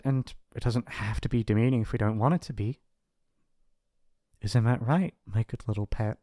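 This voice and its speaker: A male actor voices a young mafia heir who is bashful, devoted and softly possessive. He talks in an intimate ASMR roleplay style, halting and earnest, at a medium pitch. The sound is close and very dry. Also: dry recording. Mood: bashful, doting. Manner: hesitant, earnest, stammering, affectionate. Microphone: close studio mic, dry.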